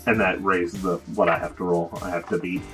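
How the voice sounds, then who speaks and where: A man's voice: low-pitched, level tone, fairly quick. A man acting as game master, casually refereeing a tabletop role-playing session. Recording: music behind the talk. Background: music.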